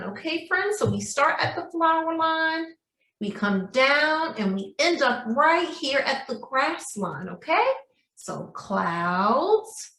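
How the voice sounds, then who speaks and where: A woman's voice: on the high side, pushed and bright. A woman leading a children's craft and writing lesson as a preschool teacher, speaking in an encouraging sing-song way and often checking in with questions. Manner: addresses young children, frequent checking questions. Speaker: preschool story time teacher. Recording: roomy echo, distant microphone.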